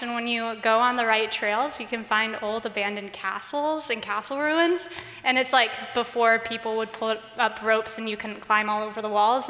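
A woman's voice: on the high side, sharp tone. A young woman who grew up in Bosnia and Herzegovina, speaking on a student panel in an earnest, upbeat way. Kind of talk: casual panel talk. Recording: echoey hall.

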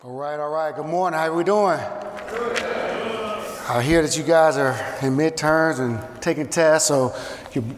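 Slightly hoarse man in a reverberant chapel. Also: on the high side, unhurried, long pauses, faint music behind. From South Carolina. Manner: energetic, conversational, says um and uh. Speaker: guest chapel speaker and youth football coach. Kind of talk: casual chapel talk to students. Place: campus chapel.

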